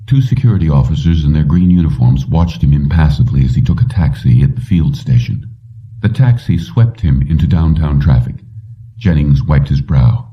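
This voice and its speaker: A man with a very low voice narrates a story from a script. The sound is dull and muffled, as if he sits well away from the microphone. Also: animated, moderate pace, distant mic. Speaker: audiobook reader.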